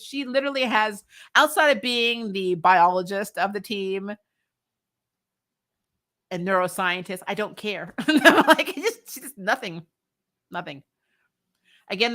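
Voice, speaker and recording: A woman with a bright, forward voice at an ordinary female pitch hosts a casual recap chat. She talks bluntly and with strong opinions, swears freely and often breaks into laughter. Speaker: host of a comics and TV recap show. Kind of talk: casual chat, reviewing superhero shows and answering viewer comments.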